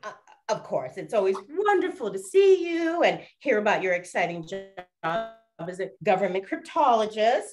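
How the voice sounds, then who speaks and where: A woman's voice: mid-range voice, very animated, moderate pace, over music, natural voice. A woman playing a flamboyant, theatrical 1920s lady detective with dramatic emphasis in an audio drama. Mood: playful.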